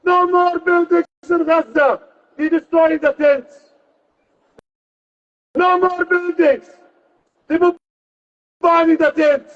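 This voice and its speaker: A man gives an impassioned political speech at a rally, declaiming forcefully and repeating phrases between pauses. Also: very high-pitched, steady tone, highs cut off. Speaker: protest rally speaker.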